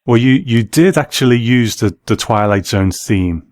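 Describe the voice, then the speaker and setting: A man's voice: mid-range voice, animated, moderate pace, darkish tone, dry recording, studio quality. A man interviewing someone in casual conversation, listening attentively and phrasing his remarks a little hesitantly.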